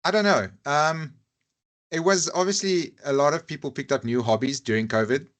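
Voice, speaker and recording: A man's voice: a little high, moderate pace, fairly bright tone. A man whose first language is Afrikaans, speaking English with an Afrikaans accent as a podcast guest, candid and self-deprecating, with hesitant restarts. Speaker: podcast guest, rugby YouTuber. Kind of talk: casual podcast chat. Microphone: lavalier mic.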